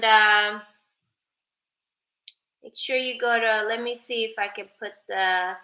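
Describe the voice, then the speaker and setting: A woman's voice: fairly high pitch, pushed and bright, roomy sound, low-quality recording. A woman from Sweden, whose first language is Persian, chatting casually on a livestream and thanking viewers by name. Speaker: livestreamer and advocate.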